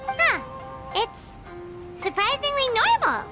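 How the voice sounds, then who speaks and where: A woman voicing a small, excitable, cheeky fairy girl companion with a squeaky childlike voice in a video game. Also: slow, heavily acted. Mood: narrating, musing. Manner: perky, exclaiming. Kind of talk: video game character acting. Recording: background music under the voice.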